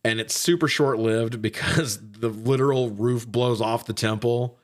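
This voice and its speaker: A man hosting a casual film review podcast, recapping in an animated, profane way with frequent laughter. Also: mid-range voice, a little slow. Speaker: podcast host reviewing films. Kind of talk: casual recap and banter between friends.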